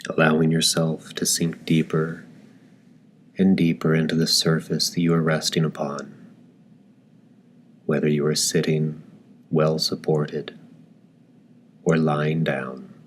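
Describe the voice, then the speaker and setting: Male meditation guide: extremely low pitch, slow delivery, gentle and calm, with long deliberate pauses. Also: slight background noise. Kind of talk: guided meditation, slow soothing scripted narration.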